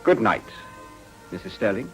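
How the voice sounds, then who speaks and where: An actor playing an ambitious moral crusader, a gentleman with a smooth, formal, measured and insinuating manner in a radio drama. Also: on the low side, sing-song, faint music behind, low-quality recording. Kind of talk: period radio drama acting.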